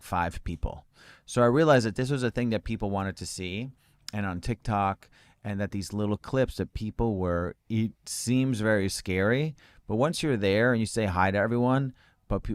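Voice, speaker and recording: A man telling stories as an interview guest, calm and even-toned, with many fillers and frequent laughs. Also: lowish voice, clean tone. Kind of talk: relaxed conversational storytelling.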